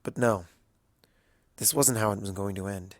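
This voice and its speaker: A man reading a dramatic story as narrator in a solemn, hushed, deliberate way. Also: on the low side, steady tone, dry recording, clean studio sound. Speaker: fan fiction narrator.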